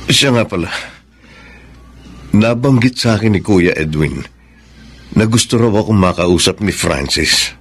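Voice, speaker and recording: An actor playing a sincere, conciliatory young groom-to-be in a Filipino radio drama, polite and reasoning. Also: male voice, on the low side, animated, measured pace, long pauses, a bit bright, slightly rough, full character voice. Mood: calm.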